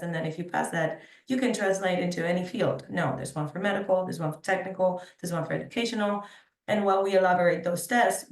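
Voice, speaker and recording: A woman speaks as a translation expert on a web panel, earnest and emphatic. Her voice sits a little low. She sounds distant from the microphone, with a roomy echo around her. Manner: earnest, emphatic, repeats words for stress. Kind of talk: webinar panel answer.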